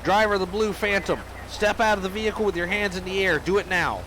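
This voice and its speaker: A man hosting a police game video, talking casually over the gameplay while he is sick with a cold, and shouting commands at suspects during stops. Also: high voice, quick pace, a crowd in the background, low-quality recording. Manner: barks loud commands at suspects.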